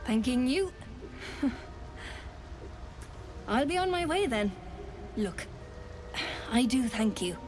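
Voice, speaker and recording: A woman's voice: sits high, big pitch swings, slow, long pauses, music in the background, heavily acted. A woman playing a weary, hard-bitten trader in a video game, speaking bluntly and plainly. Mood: softened, grateful.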